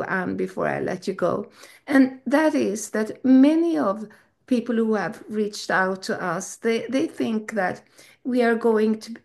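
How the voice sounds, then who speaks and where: Gravelly-voiced woman, enthusiastic interviewer. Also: on the high side. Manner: enthusiastic, effusive. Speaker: festival host interviewer. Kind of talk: casual interview.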